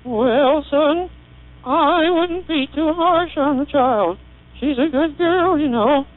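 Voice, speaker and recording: A man's voice: extremely high pitch, takes it slowly, pitched above the performer's own voice. A man speaking through a vocoder, playing a kindly old grandfather, in a tinny old recording with hum. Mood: gentle. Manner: gentle, indulgent. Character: kindly old grandfather, gentle and soft-hearted. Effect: vocoder synthesized voice.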